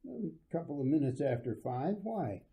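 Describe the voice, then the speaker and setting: A man playing an affable suburban husband and father in an old-fashioned radio drama, casual, folksy and gently concerned. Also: on the high side, very animated, moderate pace, narrow, tinny audio.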